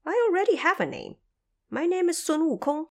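A woman voicing a trapped male monkey sage in an audio drama, eager and pleading, with a loud, excitable delivery. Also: sits high, a little fast, creaky, voice pushed up, exaggerated voice.

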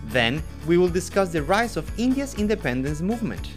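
A man's voice: high voice, very animated, fairly quick, over music. A man from Ecuador co-hosting a scripted history podcast, reading facts with joking asides. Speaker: podcast co-host.

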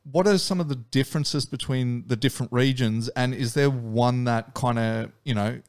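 Smooth-voiced man asking hesitant questions. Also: medium pitch, normal speed. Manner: hesitant, many "um"s and repeated words. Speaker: interview host. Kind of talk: casual interview questions.

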